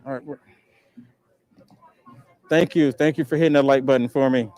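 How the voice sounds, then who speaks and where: A man's voice: mid-range voice, steady tone, normal speed, warm tone, dry recording. A man live streaming on the street, eagerly urging viewers to hit the like button. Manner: upbeat, pushy calls for likes. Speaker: street livestreamer.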